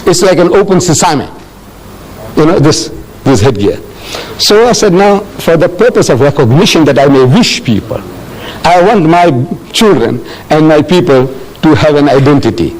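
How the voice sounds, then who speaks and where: Older male lecturer from South Africa, animated storyteller with a bright, swooping voice. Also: on the high side, moderate pace, rough recording. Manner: animated, storytelling with acted-out dialogue. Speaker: Islamic lecturer answering audience questions. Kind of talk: lecture Q&A with audience.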